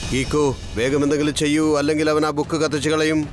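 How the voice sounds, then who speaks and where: A man's voice: on the high side, level tone, fast talker, close to an everyday voice. A man voicing an anxious kung fu master who pleads dramatically in a children's cartoon. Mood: alarmed pleading. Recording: background music under the voice.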